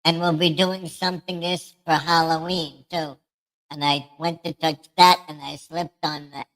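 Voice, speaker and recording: Man putting on a bright squeaky livestream voice. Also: little pitch movement, a little slow, low-quality recording. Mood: chatty. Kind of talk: casual livestream chat.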